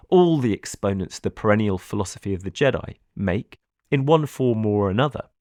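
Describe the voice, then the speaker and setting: A man reading a scripted philosophical audiobook in a measured, formal manner. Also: mid-range voice, darkish tone, dry recording, clean studio sound. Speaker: narrator.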